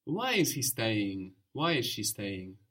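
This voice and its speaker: A man's voice: on the low side, sing-song, fairly bright tone. Patient male language teacher. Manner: patient, prompting questions and quick praise. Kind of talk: guided language lesson.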